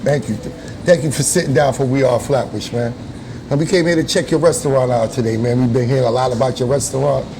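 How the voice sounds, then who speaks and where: A man's voice: medium pitch, fairly quick. Casual male interviewer, noisy surroundings. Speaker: interviewer, host of a local food show.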